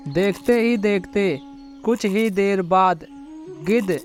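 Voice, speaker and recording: A male storyteller narrates a children's tale at a slow pace. His voice sits fairly high, with prominent music playing behind it. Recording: loud music bed.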